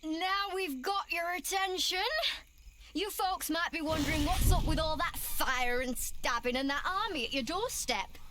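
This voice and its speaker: Brash young female mercenary, high bright voice, sarcastic, game cutscene. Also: a little slow, faint music behind. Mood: cocky, taunting. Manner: sarcastic, playful. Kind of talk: video game cutscene acting.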